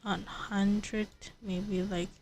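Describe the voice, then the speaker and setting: A woman's voice: medium pitch, level tone, very slow, darkish tone, soft background music, low-quality recording. A woman livestreaming a video game, chatting casually and thinking aloud in rambling, repeated phrases. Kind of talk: casual livestream chat while gaming.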